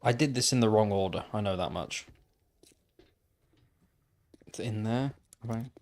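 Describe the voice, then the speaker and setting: A man's voice: lowish voice, measured pace, a little mellow. Man musing aloud while gaming. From Wales. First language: Welsh. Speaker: gaming livestreamer. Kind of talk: casual chat while playing.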